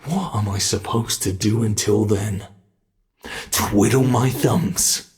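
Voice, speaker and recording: A man playing a sadistic monster from under the bed, toying with human prey in a horror ASMR roleplay, slow, taunting and theatrical, with a creaky voice. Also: on the low side, a little mellow. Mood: exasperated, angry.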